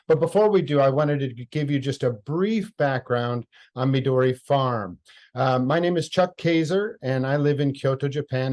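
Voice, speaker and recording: Guy hosting an online talk, friendly and measured, some ums. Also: medium pitch, a little mellow. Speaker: host.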